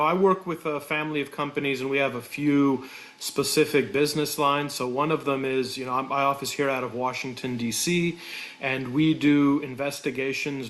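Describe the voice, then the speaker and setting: A man's voice: medium pitch, fairly bright tone. A man introducing his investigations and due diligence business on a video call, businesslike and methodical. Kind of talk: webinar self-introduction.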